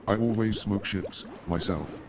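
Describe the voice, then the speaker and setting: A man playing a polite, courteous gentleman in a comic radio play, with a formal way of speaking. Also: fairly low pitch, a little slow, crowd noise, close to an everyday voice. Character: polite, lazy, nerve-shaken gentleman, the detective's new roommate. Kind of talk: comic stage-style radio play acting. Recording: dull lo-fi sound, noisy background.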